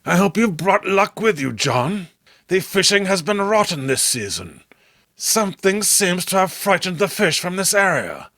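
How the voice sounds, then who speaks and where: Man acting obsessed, haughty recluse, higher-pitched. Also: very animated, measured pace, sharp tone, dry recording, clean studio sound, full character voice. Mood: gloomy. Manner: intense, haughty, melodramatic. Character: obsessed, proud adult man, entranced island recluse. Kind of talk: horror comic read-along acting.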